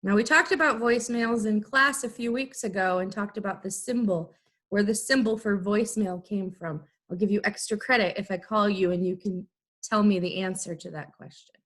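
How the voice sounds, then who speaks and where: A woman teacher giving an instructional lesson, explaining things in clear, simple, step-by-step phrases. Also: mid-range voice, moderate pace, fairly bright tone. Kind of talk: instructional video lesson for students.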